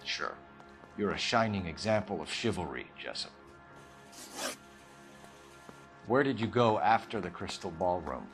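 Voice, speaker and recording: A stern homicide detective from a 1940s crime game. He questions in clipped, formal phrases with a very mobile pitch, pressing and accusing. Music plays softly behind him. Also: big pitch swings, normal speed. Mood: accusing, sardonic. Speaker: police detective. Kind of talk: video game cutscene interrogation acting.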